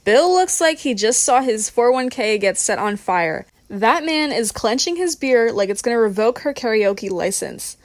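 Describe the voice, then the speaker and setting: A woman's voice: a little high, lively intonation, moderate pace, few pauses, no room sound, natural voice. A woman voicing an amused, gossipy woman friend in an audiobook, full of wry commentary. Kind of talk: audiobook character voice.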